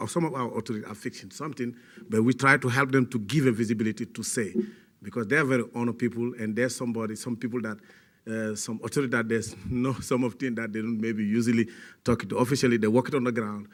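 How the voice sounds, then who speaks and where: Man, CEO, impromptu remarks, many fillers. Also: medium pitch. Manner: non-native phrasing, searching for words with many fillers. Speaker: CEO of a nonprofit.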